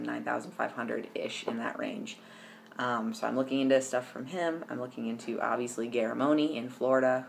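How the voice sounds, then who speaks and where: Young man with a creaky voice. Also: medium pitch, a little hiss or hum. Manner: chatty, rambling, many ums and restarts. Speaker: vlogger. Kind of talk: casual personal update to camera.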